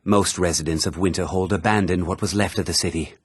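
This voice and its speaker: A husky, learned fantasy mage. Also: male voice, low-pitched, fairly even intonation, dry recording, studio quality. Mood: grave, scholarly. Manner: formal, measured, precise diction. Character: learned, formal fantasy mage scholar, haughty and measured. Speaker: video game voice actor. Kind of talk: scripted fantasy game dialogue.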